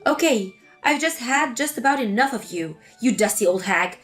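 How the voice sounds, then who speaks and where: A woman's voice: fairly high pitch, lively intonation, normal speed, a bit bright, faint music behind. A woman voicing a hurt, indignant pregnant young wife in a scripted text-story drama. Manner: indignant, pleading.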